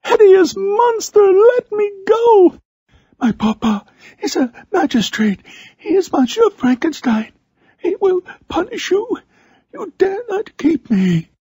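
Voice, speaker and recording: Shrill, terrified little boy. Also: sing-song, slow pace, warm tone, no echo, heavily acted. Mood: panicked, indignant. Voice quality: shrill, strained. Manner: crying out, pleading and insulting.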